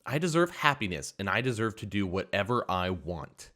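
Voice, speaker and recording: A man voicing an entitled, spiteful older woman server who scolds and rants loudly. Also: huge pitch swings, moderate pace. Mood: shrill indignant. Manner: shrill, scolding, indignant.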